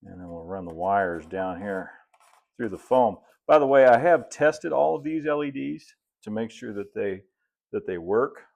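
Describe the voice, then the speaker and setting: A middle-aged American man talks through a hands-on project in a fairly low voice with a gravelly edge. His delivery is casual and unhurried, with a folksy habit of thinking aloud as he works. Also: expressive, darkish tone, muffled recording. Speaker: host, model railroad builder. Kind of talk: casual hands-on tutorial talk while working. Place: indoor hobby workshop at a cluttered workbench and layout.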